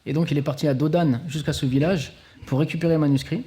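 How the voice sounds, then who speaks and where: A man explaining things as a guest at a talk, quick and eager, often restarting his sentences. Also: fairly high pitch, fairly even intonation, mellow. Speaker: conference guest speaker.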